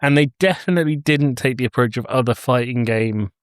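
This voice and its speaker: A man's voice: medium pitch, brisk, smooth, no echo, clean studio sound. A man co-hosting a casual film review podcast in a jokey, blunt, emphatic way. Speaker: podcast co-host.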